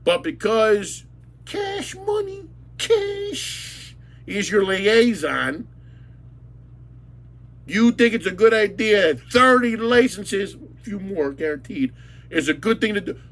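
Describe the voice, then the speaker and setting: Brief: New man, high pitch, blunt angry rant, repeats himself for emphasis. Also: big pitch swings, slow, fairly bright tone. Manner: blunt, insulting, repetitive, emphatic. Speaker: local commentator. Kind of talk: angry ranting monologue.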